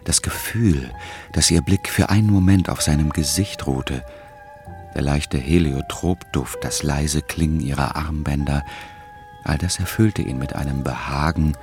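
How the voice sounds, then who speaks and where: A man narrating a literary radio play as a measured storyteller. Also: a bass voice, over music.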